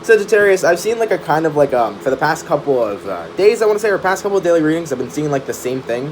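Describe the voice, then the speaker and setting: A man's voice: a little high, fast talker. A man giving a casual, chatty live-streamed tarot reading, full of fillers and "right?" tag questions. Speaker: tarot reader. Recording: steady background noise.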